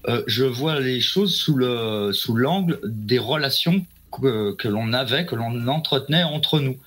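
A husky man in his forties, chatting casually on a live show. He rambles and hesitates with slangy asides, and his voice sits at an ordinary middle pitch. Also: animated, a little slow, fairly bright tone. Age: 40s. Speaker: guest on a live talk show. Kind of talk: casual chat.

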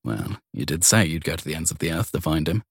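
A man's voice: a bass voice, big pitch swings, fast talker, vocal fry, slightly rough, no echo, voice pushed down, exaggerated voice. A man voicing a wry male police detective in his late forties in an audiobook, dry and matter-of-fact. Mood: gently wry. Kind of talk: audiobook character dialogue.

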